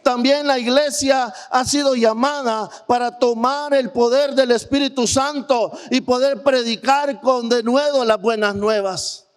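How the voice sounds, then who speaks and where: A man's voice: very high voice, fairly even intonation, unhurried, hardly any pauses, smooth. A man preaching a sermon as a missionary, telling testimony earnestly and putting rhetorical questions to the congregation. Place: large church hall, preaching to a congregation. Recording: church hall with long reverb.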